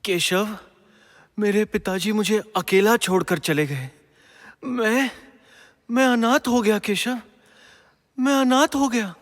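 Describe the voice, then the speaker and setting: An actor playing a devoted young warrior prince in a Hindi mythological audio drama, earnest and formal, full of feeling. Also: male voice, high-pitched, takes it slowly, long pauses. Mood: grief-stricken. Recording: long hall-like reverb.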